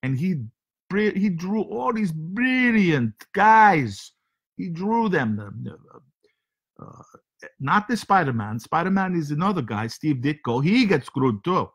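A man's voice: fairly high pitch, takes it slowly, sharp tone, exaggerated voice. A man voicing a rambling foreign male show host who speaks broken English and keeps losing his words. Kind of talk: comedic character monologue.

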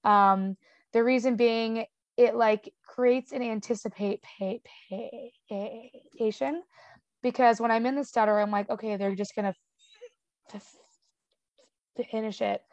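A woman's voice: on the high side, fairly even intonation, a little slow, a little mellow, no room sound. Young woman who stutters, dry dull audio. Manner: stutters with repeated sounds and blocks, fillers like "um" and "like". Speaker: interview guest. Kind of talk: casual conversational interview.

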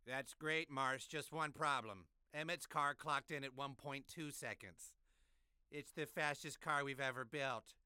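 A man voicing a smug, condescending rival suburban dad in an animated comedy. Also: medium pitch, measured pace, clean tone, no echo.

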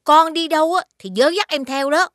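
A woman voicing a firm, caring mother in an animated series, instructive and gently scolding. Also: high-pitched, normal speed, no echo, clean studio sound. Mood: firm, instructing.

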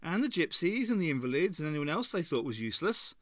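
A stout older cafe woman, placid and motherly on the surface and shrewd underneath, voiced by a man. Her voice rides high and lively, and the read is close and dry. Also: fast talker, no echo. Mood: placid menace. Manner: cosy, motherly turns of phrase.

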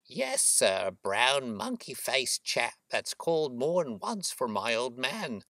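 A woman's voice: a bass voice, big pitch swings, takes it slowly, a bit bright, no room sound, studio quality, voice pushed up, heavily acted. A man voicing a worried, talkative working-class London boatman's wife, chatty and deferential, in an audiobook story. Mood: anxious.

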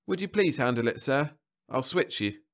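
A man's voice: medium pitch, very animated, moderate pace, pitched above the performer's own voice. A man voicing a meek, hapless, henpecked husband with a plaintive, hesitant manner in a science fiction story. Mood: meek, nervous.

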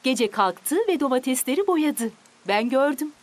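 A woman voicing a gentle, patient, loving mother in a children's cartoon, with a reassuring manner. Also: sits high, expressive, a little slow. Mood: tender, reassuring.

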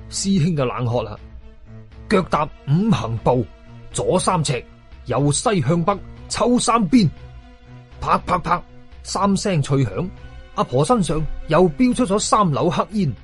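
A man with a Hong Kong Cantonese accent tells a horror story in a husky, fairly high voice. His delivery is dramatic and very lively in pitch, with chatty asides to the listener. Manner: colloquial storyteller asides and exclamations. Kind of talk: dramatic first-person audio story narration.

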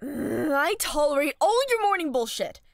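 Woman acting a high-pitched, feisty schoolgirl. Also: big pitch swings, moderate pace, vocal fry, no echo, studio quality, exaggerated voice. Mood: dreamy, blunt. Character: feisty, food-loving teenage schoolgirl. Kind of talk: amateur audio drama acting.

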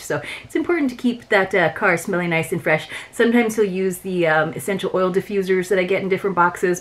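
A middle-aged woman chatting informally to viewers as she reviews items from a subscription box, sprinkling her talk with "like". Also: lowish voice. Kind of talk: casual product unboxing chat to viewers. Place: small furnished room at home, indoors.

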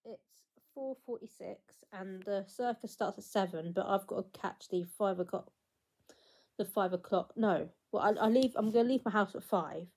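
A woman's voice: mid-range voice, normal speed, darkish tone, slightly rough. A woman in her twenties vlogging casually to her phone at home, chatty and rambling with lots of fillers and restarts. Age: 20s. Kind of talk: casual vlog to camera. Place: small room at home, indoors. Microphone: phone held at arm's length.

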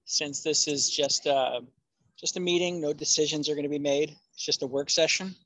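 A man on the board politely making a procedural suggestion in a meeting, speaking deliberately with fillers, over a video call. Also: on the high side, steady tone, fairly bright tone, dry recording, rough recording. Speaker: board member.

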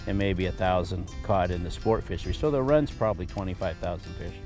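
A man's voice: low-pitched, animated, fairly quick, music in the background. A man answering interview questions about fish runs in a matter-of-fact way.

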